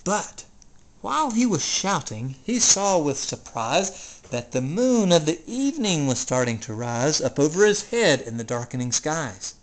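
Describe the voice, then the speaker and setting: A storyteller giving an expressive, dramatic reading of a rhyming children's story. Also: medium pitch, measured pace. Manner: theatrical, expressive.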